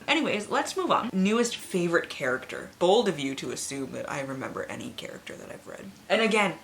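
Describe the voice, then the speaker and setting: Woman, late 20s, low register. Casual book vlogger, candid, self-deprecating, loosely rambling. Faintly noisy home recording. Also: fairly bright tone. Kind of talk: casual, chatty book vlog.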